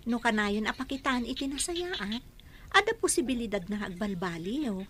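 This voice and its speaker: A woman's voice: on the high side, lively intonation, moderate pace, a bit bright, breathy edge. An actress playing a cheeky but helpful young woman who teases and chats in a radio drama. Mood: earnest. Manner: teasing, chatty.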